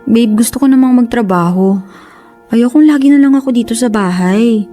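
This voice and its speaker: An actress with a Filipino accent playing a frustrated young housewife who longs for independence, in a Tagalog radio drama. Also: female voice, on the high side, moderate pace, warm tone, close to an everyday voice. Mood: earnest, wistful. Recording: music under the voice.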